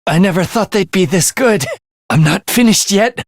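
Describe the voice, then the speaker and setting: An actor playing a morbid young man in a video game, a soft-spoken killer who is languid, menacing and gleefully excited by death. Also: sits high, moderate pace, no room sound, clean studio sound. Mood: eager, combative. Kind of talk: theatrical video game voice acting.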